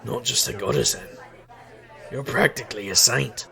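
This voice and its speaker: An actor playing a wry, hard-drinking private eye and street magician in a noir audio drama, gravelly and wisecracking. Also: male voice, medium pitch, moderate pace, pushed and bright. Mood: sardonic, probing. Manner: wisecracking, sardonic. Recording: crowd murmur behind.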